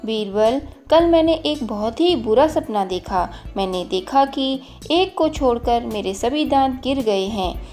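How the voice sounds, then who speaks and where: A woman's voice: fairly high pitch, expressive, a little slow, darkish tone, faint music behind. A woman voicing a troubled, commanding male emperor who addresses his subjects imperiously in a storybook tale. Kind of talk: storybook character dialogue.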